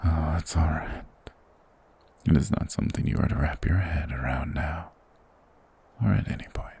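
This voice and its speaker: A man voicing a sinister, soothing forest spirit in a fantasy ASMR roleplay, speaking in a hushed, formal, hypnotic and coaxing manner. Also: a bass voice, moderate pace, heavily acted. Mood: ominous, hypnotic. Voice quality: gravelly, breathy whisper.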